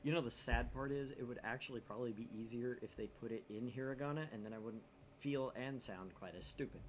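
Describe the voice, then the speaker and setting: A man hosting a gaming channel with casual, self-deprecating banter and animated storytelling. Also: mid-range voice, quick pace, slight background noise. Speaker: host of a gaming channel reading a visual novel aloud. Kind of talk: casual banter and reading game dialogue aloud.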